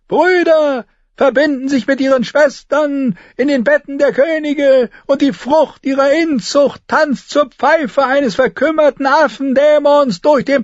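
A man voicing a fanatical begging-friar street prophet in an audiobook, shrill and strained, preaching with declamatory fervour. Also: no room sound, dull audio. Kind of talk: dramatised audiobook character.

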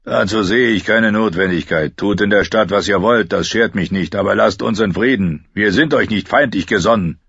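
A man voicing a gruff, stubborn older watchman in an audiobook, blunt and defiant in manner. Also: lowish voice, normal speed, hardly any pauses, fairly bright tone, no room sound, lo-fi sound, heavily acted.

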